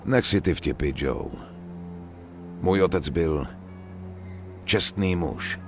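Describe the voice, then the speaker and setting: A proud young immigrant gangster in a game cutscene. He is serious and clipped in his delivery. Music runs clearly behind his voice. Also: low-pitched. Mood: somber. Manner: serious, curt. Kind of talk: dubbed video game cutscene acting.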